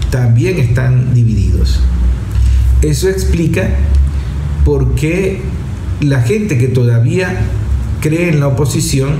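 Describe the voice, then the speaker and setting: Mellow man commentating, echoey room. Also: medium pitch. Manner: didactic, emphatic, repeats phrases for stress. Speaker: political commentator presenting analysis. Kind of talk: political commentary addressed to the audience. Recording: roomy echo with faint background noise.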